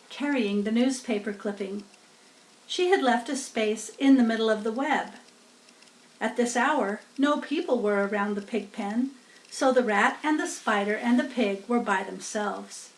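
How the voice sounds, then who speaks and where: A woman narrating a children's story as a storybook reader. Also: on the high side. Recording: roomy echo, distant from mic.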